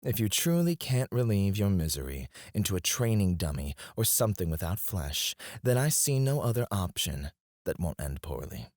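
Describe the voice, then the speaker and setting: Casting: male actor as cocky fantasy alchemist, lowish voice, sardonic, flirty and goading, close dry ASMR delivery. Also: sing-song, normal speed, a little mellow, no echo. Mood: taunting, daring. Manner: teasing, sardonic, goading with mock politeness. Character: cocky, flirty male royal alchemist taunting his rival. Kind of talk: intimate ASMR fantasy roleplay acting.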